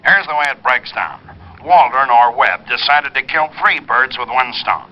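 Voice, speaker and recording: A man's voice: medium pitch, animated, normal speed, close to an everyday voice. An American man playing a needling, matter-of-fact detective's pal in old radio drama. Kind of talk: 1940s-50s radio acting. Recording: dull vintage radio recording.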